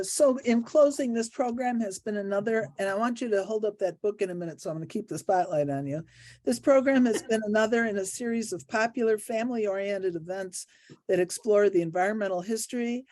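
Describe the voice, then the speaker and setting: A woman moderator closes an online meeting by reading announcements from a script. Her voice is mellow and dark. The sound is dry and close, and her delivery is measured and formal. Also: mid-range voice, no echo. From Illinois, US. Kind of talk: reading closing remarks from a script.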